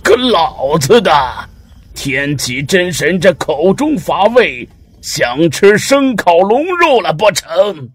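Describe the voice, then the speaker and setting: 沙哑男性神祇，语速缓慢. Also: male voice, high voice, big pitch swings, slow pace, no room sound, full character voice. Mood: indignant grumbling. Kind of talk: Chinese audio drama acting.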